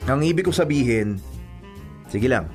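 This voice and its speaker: A man's voice: medium pitch, animated, normal speed, over music, close to an everyday voice. A man with a Filipino accent playing a placating, evasive young boyfriend in a radio drama, hesitant and apologetic. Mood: nervous.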